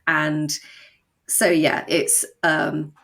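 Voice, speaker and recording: A woman's voice: low voice, flat delivery, drawn-out words, vocal fry, fairly bright tone. A woman talking as an interview guest about her creative work, explaining conversationally with wry, dry humour. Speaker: guest, audio drama co-creator.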